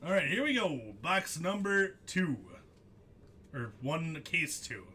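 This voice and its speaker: A young man hosts a live card break, his voice bright and forceful and rising and falling a lot as he chats. He laughs easily and calls out each pull between bits of banter with the chat. A faint hum of room noise sits under the voice. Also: fairly high pitch, animated, slow pace. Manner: chatty, laughs often, reads out pulls and answers viewers by name.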